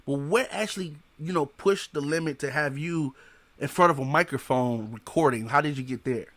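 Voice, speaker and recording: A man's voice: on the high side, moderate pace, a bit bright, dry recording. A man hosting a casual podcast interview in loose, slangy talk, often adding "you know what I'm saying". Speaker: podcast host.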